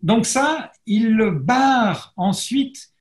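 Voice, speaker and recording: A man giving an academic lecture as a historian, pausing and restarting often as he reads and translates verse. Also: extremely high pitch, animated, echoey room. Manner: hesitant, frequent pauses and restarts; self-deprecating about his Latin pronunciation. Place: lecture setup facing a camera, roomy.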